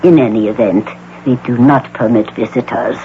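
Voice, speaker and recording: Thin, narrow radio sound carries an actor as a male institution director in a vintage-style drama. His middle-pitched voice is formal and clipped. He is coldly courteous and will not bend. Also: moderate pace, natural voice. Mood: coldly polite. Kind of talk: 1980 radio drama acting. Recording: thin, hissy radio broadcast with hum.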